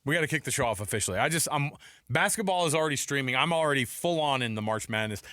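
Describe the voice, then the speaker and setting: A man's voice: medium pitch, animated, quick pace, a bit bright, no echo. A man hosting a casual sports talk show from a studio, speaking in an emphatic, exclamatory, conversational way. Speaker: sports radio host. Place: radio studio. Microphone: close studio microphone.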